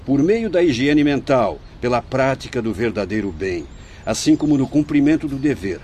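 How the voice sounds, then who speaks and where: A man with a Brazilian accent playing a wise, solemn spirit teacher in a radio drama, speaking in a measured, didactic way. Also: mid-range voice, lively intonation, a little mellow, a little hiss or hum, natural voice. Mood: solemn, instructive. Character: wise, solemn male spirit teacher addressing younger companions.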